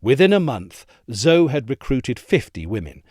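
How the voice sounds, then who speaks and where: A man reading a history essay aloud in a measured, expressive storytelling manner, close to a studio mic. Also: medium pitch, breathy edge, no room sound. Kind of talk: scripted narration.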